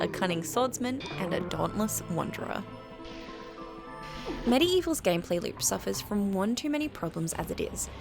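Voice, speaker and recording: British lady reading out a video essay script, articulate and measured, bit of vocal fry. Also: medium pitch. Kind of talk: scripted review narration.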